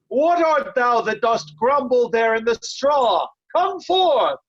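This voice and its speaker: A woman playing a loyal nobleman who pleads earnestly and gently with an old king in an amateur Shakespeare reading. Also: on the high side, big pitch swings, takes it slowly, highs cut off, exaggerated voice. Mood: concerned.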